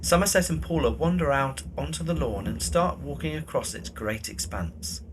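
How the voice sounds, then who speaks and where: A man's voice: mid-range voice, moderate pace, background noise. A man reading scripted present-tense narration for an audio drama.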